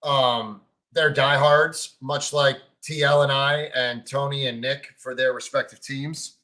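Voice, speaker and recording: A man's voice: mid-range voice, steady tone, measured pace, sharp tone. A man co-hosting a casual sports podcast, talking in an emphatic, teasing way. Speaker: podcast co-host.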